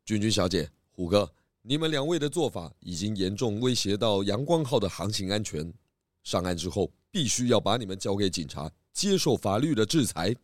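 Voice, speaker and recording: A man playing a calm, righteous detective chief in a children's radio drama, speaking in a measured, explanatory way. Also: fairly low pitch, no room sound, clean studio sound. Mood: stern. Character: calm, righteous male detective chief giving verdicts and advice.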